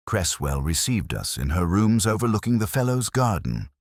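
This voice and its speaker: A man narrating a scripted first-person detective mystery in a measured, literary, wry manner. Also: lowish voice, sing-song, dry recording, studio quality.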